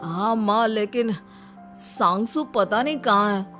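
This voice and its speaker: A put-upon young husband as a woman voices him in a cartoon story, grumbling and complaining. The voice sits at a medium female pitch, with light music behind. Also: slow pace, lightly acted. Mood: hesitant, complaining. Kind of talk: Hindi cartoon story dialogue.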